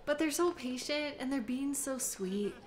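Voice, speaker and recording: A woman acting a giggly, high-voiced flirty beginner skater. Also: unhurried. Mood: playful, hopeful. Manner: giggly, hesitant, chatty, with excited exclamations. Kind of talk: intimate audio roleplay, one-sided conversation.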